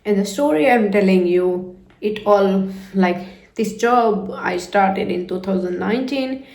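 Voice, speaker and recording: A woman in her thirties from India, whose first language is not English, telling her life story in an unhurried, reflective way. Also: medium pitch, a little mellow, reverberant, low-quality recording. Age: 30s. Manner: unhurried, reflective, with frequent "you know" fillers. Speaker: vlogger.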